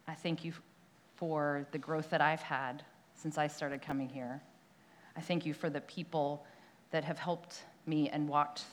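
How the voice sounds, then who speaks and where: A woman's voice: deep voice, slight background noise. A young woman preaching a church sermon in a conversational way, often asking "right?", with a prayer and a scripture reading. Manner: conversational, frequent tag "right?", some restarts.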